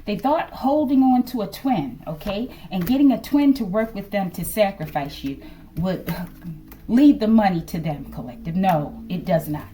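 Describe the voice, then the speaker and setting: Woman giving a lively, musing tarot reading. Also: normal speed, a little hiss or hum. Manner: musing, repeatedly addresses listeners as collective, trails off low at line ends. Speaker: tarot reader. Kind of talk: casual tarot reading to a general audience.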